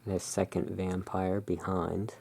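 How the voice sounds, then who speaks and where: Slow, low, creaky hushed ASMR voice. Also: level tone. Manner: hushed, soothing, unhurried. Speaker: host playing a gothic curiosity shop owner. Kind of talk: ASMR roleplay, showing tarot cards.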